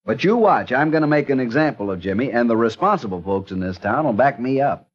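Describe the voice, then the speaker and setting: A man's voice: medium pitch, animated, brisk, close to an everyday voice. A man playing a stubborn small-town lawman. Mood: stern. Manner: stubborn, stern, self-righteous. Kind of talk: 1940s radio acting. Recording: muffled old radio recording.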